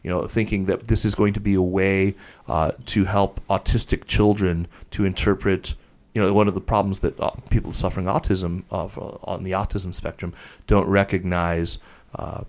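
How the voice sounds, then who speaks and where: A man's voice: deep voice, little pitch movement, normal speed, a little mellow. A man hosting a podcast, chatting casually in a wordy, digressive way with an erudite vocabulary. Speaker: podcast host. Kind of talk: casual panel chat.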